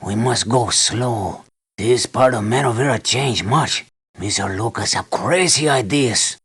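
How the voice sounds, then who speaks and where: Male island guide for a game: husky, creaky, mid-pitched, earnest and wary, broken English. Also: measured pace, fairly bright tone, full character voice. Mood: earnest warning. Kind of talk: video game voice lines.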